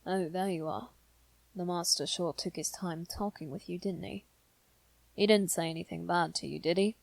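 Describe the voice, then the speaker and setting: Woman as a low, creaky, gentle demon triplet. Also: fairly even intonation, no echo, low-quality recording. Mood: gentle, concerned. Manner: soft, measured, deliberate, sometimes near whisper. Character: gentle, formal male demon triplet, devoted and possessive. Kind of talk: scripted ASMR roleplay acting.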